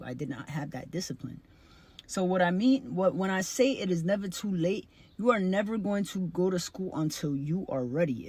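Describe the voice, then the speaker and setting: A woman's voice: lowish voice, darkish tone, breathy edge. Earnest young woman sharing her story. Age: 20s. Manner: earnest, plain-spoken, casual fillers. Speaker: vlogger, licensed funeral director. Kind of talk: personal testimony.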